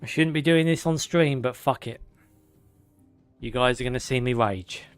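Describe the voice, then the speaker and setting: Man, hoarse, slightly breathless, sarcastic, faint music underneath. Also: medium pitch, moderate pace, no room sound. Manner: sarcastic asides, swearing. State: hoarse, losing his voice. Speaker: streamer reading game lore. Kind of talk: live gameplay stream with lore reading.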